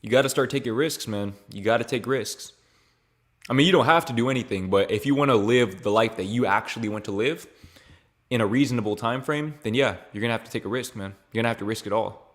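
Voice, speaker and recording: A man's voice: medium pitch, quick pace. Laid-back young man, casual vlog talk. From Louisiana, raised in Texas. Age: young adult. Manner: laid-back, slangy, repeats phrases with "bro" and "man". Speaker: YouTuber answering viewer questions.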